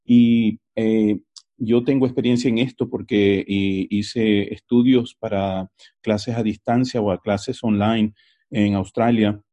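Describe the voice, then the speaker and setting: A man teacher speaking slowly and hesitantly with many fillers on a video call. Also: fairly low pitch, level tone, mellow, dull audio.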